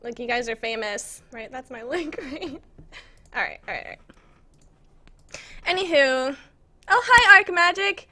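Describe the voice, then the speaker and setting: A woman's voice: high voice, lively intonation, measured pace, long pauses, sharp tone. An American woman chatting casually to viewers on a live stream, rambling in a self-conscious, giggly way. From United States. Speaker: live streamer and game map maker. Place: indoors at a computer in an apartment.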